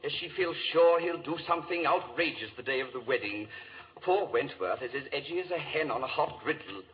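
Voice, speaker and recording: Actor as animated, worried English lord. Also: male voice, a little high, brisk, lightly acted. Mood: dramatic recounting. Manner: animated, hesitant storytelling. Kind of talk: 1940s radio drama acting. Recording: dull old radio recording.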